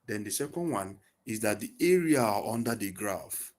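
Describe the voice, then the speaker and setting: A man runs an online physics lesson through a headset on a video call. His voice sits fairly low, and he quizzes his students as he goes, answering the questions himself. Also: lively intonation, normal speed, darkish tone. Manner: asks leading questions and fills in the answers. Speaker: physics tutor.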